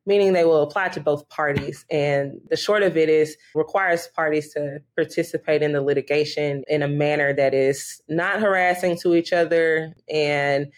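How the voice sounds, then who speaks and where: A woman's voice: low-pitched, normal speed, few pauses. Matter-of-fact woman attorney from Houston. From Houston, Texas. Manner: steady, matter-of-fact explaining. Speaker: guest associate attorney. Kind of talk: conversational podcast interview.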